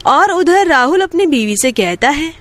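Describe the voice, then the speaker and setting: A woman's voice: high voice, big pitch swings, unhurried, a bit bright. A woman narrating a scripted children's bedtime story as the storyteller.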